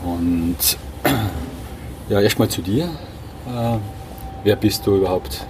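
Male host, friendly, low voice, faint outdoor noise. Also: animated, slow. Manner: friendly, chatty. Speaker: podcast host, interviewer. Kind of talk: podcast intro and interview. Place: rooftop terrace in a city, outdoors. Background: faint traffic noise.

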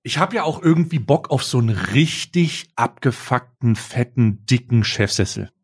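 A man's voice: mid-range voice, expressive, normal speed, breathy edge. A man co-hosting a podcast, chatting casually in a rambling, joking, slangy way into a close microphone. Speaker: podcast co-host. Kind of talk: casual banter chat.

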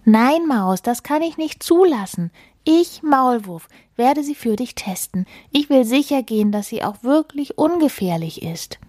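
A woman's voice: high voice, expressive, measured pace, dark tone, no echo, clean studio sound, voice pushed up. A woman voicing a male mole from a storybook, fretful, bossy and sly, with a fussy, insistent way of cautioning.

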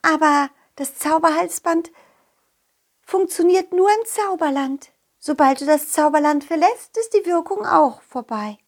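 A woman's voice: high-pitched, animated, unhurried. A woman voicing a kind, helpful fairyland friend in a children's audio play, sing-song and gushing as she explains things. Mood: patient, instructive.

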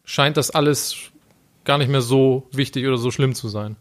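A man's voice: mid-range voice, steady tone, normal speed. A young man chatting casually and reflectively as a podcast co-host, with a dry sense of humor. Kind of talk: casual chat.

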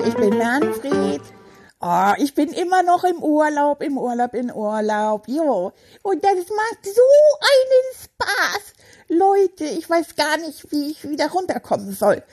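A woman voicing a chatty, boastful male stuffed toy rat, telling a children's story in an excitable, childlike way with a husky voice. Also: on the high side. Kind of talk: children's audio story monologue.

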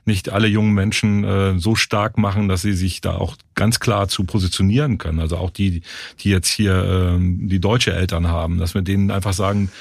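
Middle-aged male interviewer, low gravelly husky voice, hesitant questioning. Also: steady tone, a little fast, no echo, clean studio sound. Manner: hesitant, many fillers while forming questions.

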